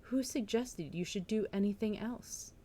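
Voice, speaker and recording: A woman voicing the nosy, insistent sister of a village doctor in a storybook reading, brisk and pressing. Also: medium pitch, dark tone, voice pushed up, natural voice. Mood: innocently persuasive. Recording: noticeable background noise.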